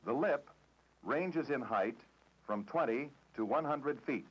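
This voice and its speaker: Narrator: American man, formal matter-of-fact 1960s documentary read, strongly modulated mid-range pitch, lo-fi old film audio. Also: expressive, takes it slowly, slightly rough. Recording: dull, dated 1960s film soundtrack.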